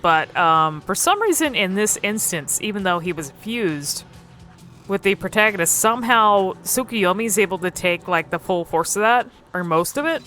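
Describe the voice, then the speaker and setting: A woman's voice: medium pitch, sharp tone. A woman giving casual, unscripted commentary on game cutscenes, musing hesitantly and often trailing off mid-thought. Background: music.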